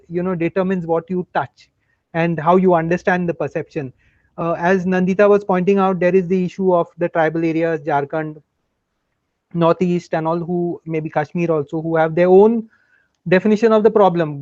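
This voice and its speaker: Man, reflective, rambling, high voice, dull dry sound. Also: a little mellow, no echo. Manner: reflective, rambling, many fillers. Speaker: guest. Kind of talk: casual panel talk. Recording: dull, dry remote audio.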